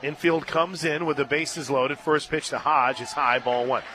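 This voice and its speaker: A man doing live radio play-by-play of softball, conversational between pitches and excited on the action. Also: normal speed, a little hiss or hum, rough recording. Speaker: play-by-play announcer. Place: radio booth at an outdoor ballpark. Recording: crowd and stadium music behind. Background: crowd, loudspeaker music.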